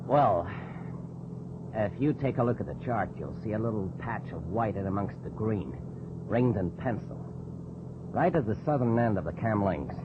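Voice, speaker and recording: An actor playing a sly, needling, crooked co-pilot who makes smooth threats in an old radio drama. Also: male voice, on the low side, animated, moderate pace, close to an everyday voice. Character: sly, menacing crooked co-pilot, cool under argument. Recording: muffled old radio, loud noise.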